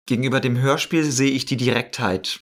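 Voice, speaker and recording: A man's voice: medium pitch, steady tone, a little fast, fairly bright tone. A man chatting informally as a guest in an interview, talking as an improv performer. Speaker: guest, improv player in a role-playing podcast. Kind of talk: casual interview chat.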